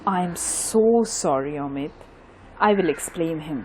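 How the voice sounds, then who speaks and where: Woman acting lively, earnest young Bengali woman. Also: low-pitched, takes it slowly, rough recording. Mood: earnest, pleading. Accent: Indian Bengali. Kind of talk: amateur audio drama acting. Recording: faint background noise.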